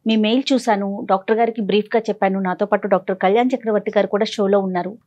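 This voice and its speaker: A woman's voice: medium pitch, steady tone, racing, a little mellow, dull audio. A woman hosting a counselling talk show in speech, polite and mixing in English words. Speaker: TV programme host.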